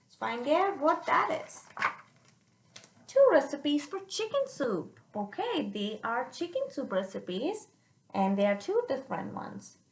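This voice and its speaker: Lady doing storytime for kids, slow n very expressive, stops to explain, echoey room. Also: high-pitched, slight background noise. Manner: animated, checks understanding with listeners. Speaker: storyteller reading to children. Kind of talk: read-aloud with simple explanations.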